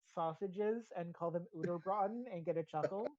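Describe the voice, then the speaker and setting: Low-pitched American woman podcaster, dull audio. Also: normal speed, darkish tone, no room sound. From United States. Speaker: podcast host. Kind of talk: casual opinionated pop-culture review. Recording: slightly dull, band-limited audio.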